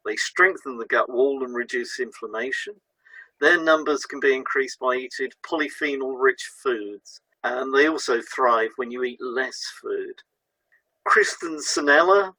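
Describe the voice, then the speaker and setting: A man's voice: medium pitch, bright, forceful tone, breathy edge, no room sound, rough recording. A man presenting a scripted educational talk as a narrator.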